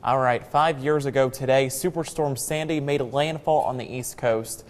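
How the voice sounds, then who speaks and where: A man's voice: medium pitch, fairly even intonation, moderate pace, big-room sound, a little hiss or hum. A man with an American accent anchoring a scripted television newscast. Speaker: news anchor.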